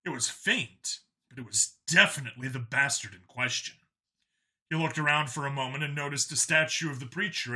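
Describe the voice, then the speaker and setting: A man's voice: on the high side, sharp tone. A man narrating a science fiction action story from a script.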